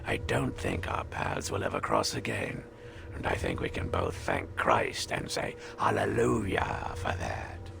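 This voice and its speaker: An actor playing a bitter, vengeful and ancient man in a video game, sneering and snarling with theatrical contempt in a gravelly voice. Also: a bass voice, very animated, unhurried, background noise, heavily acted. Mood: gruff, dismissive. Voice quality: gravelly, creaky. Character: bitter, vengeful centuries-old man, contemptuous and foul-mouthed. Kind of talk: video game character acting. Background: game music.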